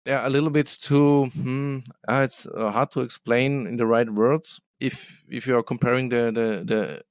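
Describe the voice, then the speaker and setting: Man, guest in a business interview; smooth, hesitant, lots of fillers, dry sound. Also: mid-range voice, steady tone, normal speed, dry recording. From Germany. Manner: hesitant, many fillers and restarts. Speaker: guest, business development manager. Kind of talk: casual interview answers.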